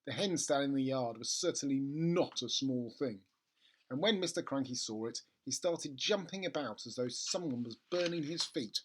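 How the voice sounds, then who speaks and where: A storybook reader narrating a children's tale in an expressive, theatrical way. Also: mid-range voice, normal speed, breathy edge. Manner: animated, theatrical.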